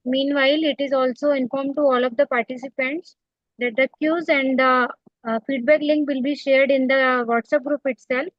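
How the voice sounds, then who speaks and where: A woman's voice: high voice, steady tone, normal speed, no echo. A woman moderating an online session with formal, polite announcements, heard over dull, lo-fi call audio.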